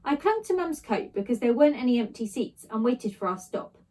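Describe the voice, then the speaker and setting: Woman, fairly high voice, expressive storybook narration, child's first-person telling. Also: a little fast. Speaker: story reader, teacher.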